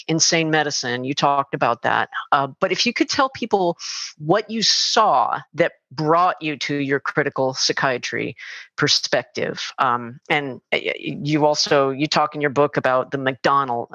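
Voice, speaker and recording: A woman hosting an online panel, asking a guest long, halting questions full of restarts and ums. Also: low-pitched, a bit bright, no room sound. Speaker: host, panel moderator. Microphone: video call. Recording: muffled online call audio.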